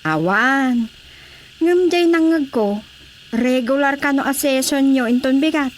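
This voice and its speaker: A woman's voice: high-pitched, measured pace. A Filipino woman playing a scolding, plaintive wife of a village councilor in a comedic radio drama.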